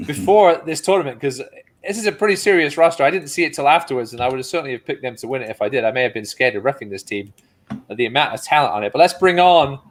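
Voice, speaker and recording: A man's voice: a little high, fairly bright tone. Casual male sports show host.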